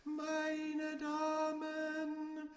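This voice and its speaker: An actress playing a pompous mistress of ceremonies in a radio play, proclaiming grandly in a half-sung, declamatory way. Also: female voice, sits high, completely flat delivery, drawn-out words, echoey room, soft background music. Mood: grandiose, solemn. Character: pompous female master of ceremonies heralding a lavish feast. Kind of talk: theatrical, declamatory German radio play acting.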